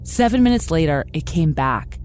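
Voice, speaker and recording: A woman's voice: deep voice, very animated, normal speed, a little mellow. A woman reading scripted narration, telling a story in a produced podcast. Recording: produced podcast clip with music underneath. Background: music bed.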